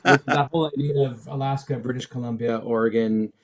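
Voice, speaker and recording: A man's voice: medium pitch, steady tone, fairly quick, a crowd in the background, rough recording. Reflective man in a casual interview. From Mexico City, moved to Canada as a teenager. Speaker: musician, interview guest.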